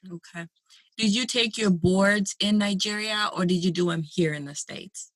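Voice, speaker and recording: A woman's voice: medium pitch, normal speed, no echo, low-quality recording. A woman in her forties hosting a podcast, upbeat and encouraging as she introduces and questions her guest. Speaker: podcast host. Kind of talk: podcast interview and scripted intro.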